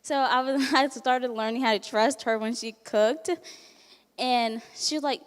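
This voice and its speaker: A woman's voice: on the high side, fairly even intonation, measured pace, smooth, in a large hall. A teenage girl, not a native English speaker, giving a candid personal speech at a banquet, laughing often and acting out what people said. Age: teens. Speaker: resident giving a testimonial.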